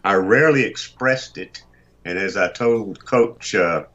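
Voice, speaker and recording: An older man with a very deep voice, a radio host chatting as a guest and joking about himself. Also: measured pace, a bit bright, muffled recording. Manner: self-deprecating, joking about his height. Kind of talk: casual chat.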